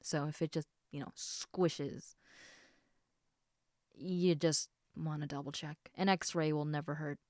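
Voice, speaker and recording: A woman playing a caring, slightly snarky snake woman in an intimate audio roleplay, speaking in a soft, hesitant conversational murmur that dips into whispers. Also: low-pitched, fairly even intonation, slow pace, dry recording, studio quality.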